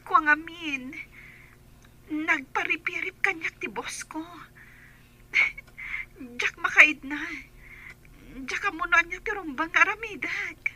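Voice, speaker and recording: A woman's voice: very high voice, slow, long pauses, bright, forceful tone, slight background noise, exaggerated voice, poor audio. A woman playing a hesitant, demure young female employee in a Filipino radio drama. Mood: confiding, hesitant.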